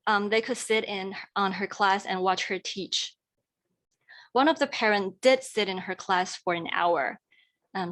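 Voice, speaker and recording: A woman's voice: mid-range voice, a bit bright. Earnest young woman presenting. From Taiwan. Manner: earnest, careful delivery with fillers and restarts. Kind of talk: presentation talk.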